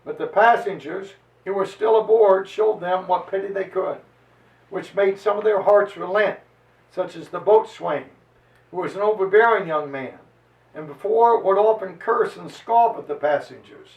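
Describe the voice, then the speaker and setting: A man with a high voice reads history aloud as a dramatic performance. His delivery is emphatic and declamatory, with deliberate phrasing. He sounds some way from the microphone, in a roomy, echoing space. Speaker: reader and lecturer on history. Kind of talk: dramatized reading of a historical chronicle to listeners. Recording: roomy echo, voice distant from microphone.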